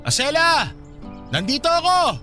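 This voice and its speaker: A man's voice: extremely high pitch, wildly swooping pitch, unhurried, over music, full character voice. A man playing a regretful estranged husband and father, pleading emotionally in a radio drama. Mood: calling out.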